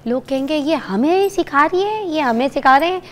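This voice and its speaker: A woman's voice: high voice, expressive, normal speed. A woman from Pakistan hosting a morning show in casual studio chat, playful and teasing, her throat sore.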